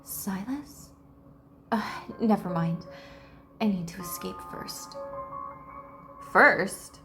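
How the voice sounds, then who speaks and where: A woman's voice: medium pitch, drawn-out words. A woman reading game text aloud as a gaming commentator and breaking into casual reactions. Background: loud game music.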